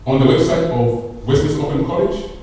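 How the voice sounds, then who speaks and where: A man's voice: fairly high pitch, expressive, fairly quick, mellow. A man giving the opening speech at a conference, talking like a preacher and rising to emphatic peaks. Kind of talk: public speech. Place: large hall. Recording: echoey hall, distant mic.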